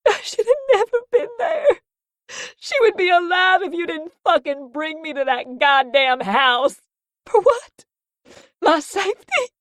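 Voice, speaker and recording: A woman's voice: very high-pitched, a bit bright, no room sound, clean studio sound, pitched above the performer's own voice, exaggerated voice. Woman voicing a shrieking, sobbing young woman. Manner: hysterical, crying, shrieking. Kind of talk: audiobook dialogue.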